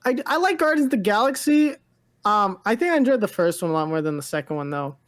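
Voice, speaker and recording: A woman's voice: mid-range voice, animated, fast. A woman co-hosting a pop-culture podcast in casual chat, rambling and hedging as she talks informally. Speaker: podcast co-host.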